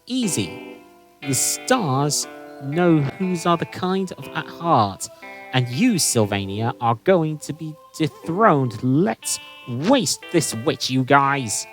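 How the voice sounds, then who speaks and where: A performer playing a calm, resolute guardian of magic in an audio drama, earnest and measured. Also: medium pitch, soft background music. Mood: confident defiance.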